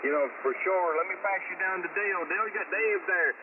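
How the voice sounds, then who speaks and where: A man's voice: high-pitched, quick pace. Folksy guy running a ham radio net, distant tinny radio audio, lots of static. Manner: folksy, calls others brother. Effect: heard over amateur radio. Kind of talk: amateur radio net check-in procedure. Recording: narrow, noisy ham radio transmission. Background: radio static and noise.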